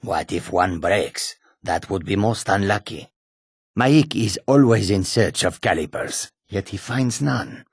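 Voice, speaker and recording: A man voicing a sly, whimsical male cat-folk wanderer in a video game, with a raspy, husky voice, deliberate clipped phrasing. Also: low voice, expressive, dry recording, clean studio sound, heavily acted. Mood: wry, musing. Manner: speaks of himself in third person, deliberate, clipped phrasing. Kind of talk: video game character dialogue.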